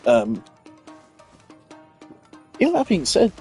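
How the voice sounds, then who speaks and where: A man's voice: on the high side, wildly swooping pitch, slow pace, warm tone, faint music behind. A man streaming a video game, giving casual, opinionated commentary full of filler words.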